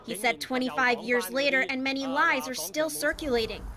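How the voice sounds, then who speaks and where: A woman's voice: high-pitched, steady tone, moderate pace, a crowd in the background. A woman delivering a news report in a scripted, on-the-scene style, as a reporter. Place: outdoors on a busy street at a protest. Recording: clear street noise behind the voice.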